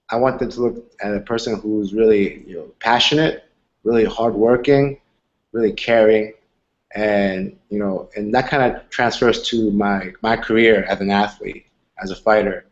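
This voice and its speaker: Man in his twenties, from Argentina, lower voice, earnest and rambling, dull lo-fi sound. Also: a little slow. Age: 20s. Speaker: MMA fighter. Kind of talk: interview answers.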